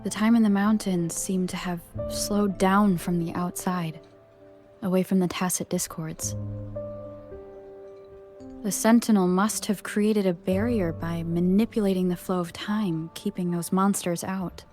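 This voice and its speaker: A calm, thoughtful young woman from a video game, acted by a woman. Her voice sits slightly low and stays composed, with faint music behind it. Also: unhurried, close to an everyday voice. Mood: calm, reflective. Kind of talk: scripted video game dialogue, recounting.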